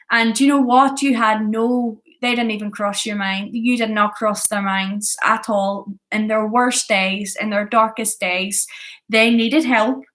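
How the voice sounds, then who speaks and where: A woman's voice: medium pitch, fairly bright tone. A woman in her early thirties with a Belfast accent, candidly and earnestly telling her personal story, stressing points by repeating them. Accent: Belfast Northern Irish. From Belfast, Northern Ireland. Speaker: vlogger sharing a personal addiction story. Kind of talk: confessional personal storytelling, partly from notes.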